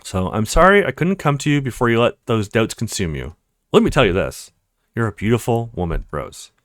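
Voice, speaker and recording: Guy doing protective young best friend dude in a visual novel, earnest, blunt, really animated delivery, dry sound. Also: medium pitch, a little fast, no room sound. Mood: apologetic, earnest. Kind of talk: visual novel character read-aloud.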